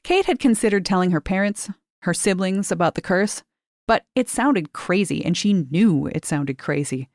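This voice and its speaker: Woman, narrator, story reading, lower voice, dry close sound. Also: animated, normal speed, darkish tone, dry recording. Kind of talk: audiobook fiction reading.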